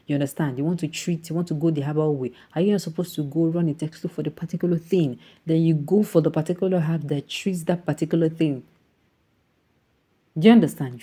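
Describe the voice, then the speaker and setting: A woman's voice: deep voice, warm tone. A woman with a Nigerian accent giving opinionated, off-the-cuff commentary, emphatic and full of rhetorical questions, sometimes slipping into Pidgin. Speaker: online political commentator.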